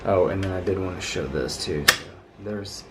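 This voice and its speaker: A man's voice: sits low, normal speed, heavy background noise. A man giving an informal, rambling hands-on show-and-tell as a hobbyist, with an enthusiastic, marveling manner. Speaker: vintage radio hobbyist presenting a video.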